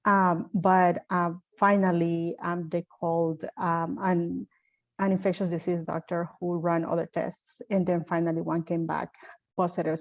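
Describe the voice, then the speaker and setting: Woman from El Salvador, lowish voice, unhurried and pausing, dull lo-fi call audio. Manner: unhurried, with frequent pauses and fillers. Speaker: panelist. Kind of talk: panel discussion, personal account.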